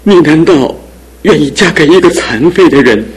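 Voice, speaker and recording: A man's voice: a little high, lively intonation, normal speed, husky, poor audio. An actor playing a kindly, worried older father in a studio radio drama, speaking in a fatherly, pleading way. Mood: concerned. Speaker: fiancé's father.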